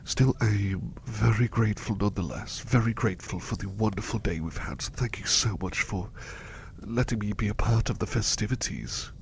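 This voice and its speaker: A man playing a gentle, devoted butler in a soft ASMR roleplay, bashful and effusively polite, repeating his phrases in a halting, hushed way. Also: lowish voice, creaky, a little hiss or hum. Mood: earnest, apologetic. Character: gentle devoted male butler, bashful, eager to please, doting.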